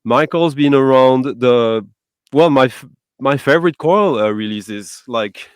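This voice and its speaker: Man with a smooth, clear voice. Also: medium pitch, a little slow, no echo, studio quality. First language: not English. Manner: enthusiastic, searching for words. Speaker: host. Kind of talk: casual music chat.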